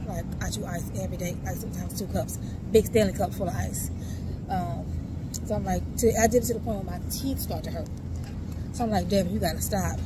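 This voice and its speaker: Chatty woman vlogging from her phone. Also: medium pitch. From St. Louis, Missouri. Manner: chatty and candid, talks straight to viewers. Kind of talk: casual vlog chat. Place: grocery store and parked car. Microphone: phone held at arm's length. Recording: loud background noise from phone.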